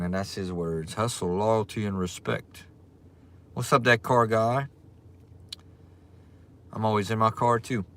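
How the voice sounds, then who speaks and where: A man with a strong Cajun accent, hosting a casual livestream, chatting in a relaxed way and greeting viewers by their comment names. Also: lowish voice. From Louisiana. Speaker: livestream host.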